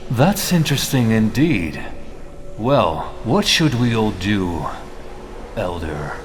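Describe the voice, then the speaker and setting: A man acts out an easygoing vampire follower in a fantasy drama. His voice is somewhat low, with a wry, laid-back manner. Noise is clearly audible behind him. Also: big pitch swings, slow pace. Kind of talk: scripted fantasy voice acting. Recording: clearly noisy background.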